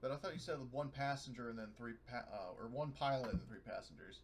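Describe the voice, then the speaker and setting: A man's voice: mid-range voice, lively intonation, quick pace. A man chatting casually as a player in a tabletop roleplaying game, informally narrating what his character does. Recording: noisy audio with clicks.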